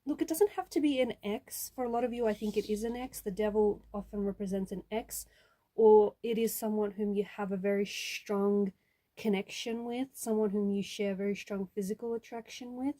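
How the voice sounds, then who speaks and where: Mellow-voiced woman giving a tarot reading. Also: mid-range voice, fairly even intonation, normal speed. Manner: repetitive, rephrasing each point for emphasis. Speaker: tarot reader. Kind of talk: intuitive tarot reading addressed to viewer.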